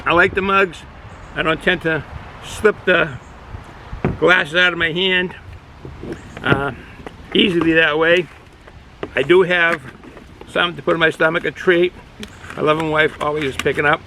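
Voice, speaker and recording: A middle-aged man with a Boston accent, casually reviewing a beer outdoors, rambling and halting with many fillers. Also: a little high, fairly even intonation, sharp tone, a little hiss or hum, rough recording. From Boston area, United States. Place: outdoors, sun in his eyes.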